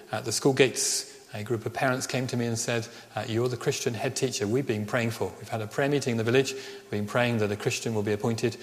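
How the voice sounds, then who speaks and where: A middle-aged man from Birmingham with a slight Birmingham accent touched by Welsh Ceredigion tones, preaching a sermon as a vicar. He tells a personal story earnestly and slows down on key phrases. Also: medium pitch. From Birmingham, England. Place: church. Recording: reverberant church hall.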